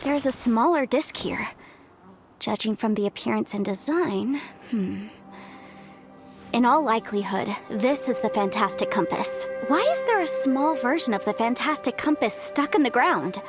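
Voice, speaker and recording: A woman playing a talkative, upbeat young legal adviser in a video game, with an expressive way of speaking. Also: a little high, normal speed, over music. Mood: curious. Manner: expressive, talkative, self-prompting.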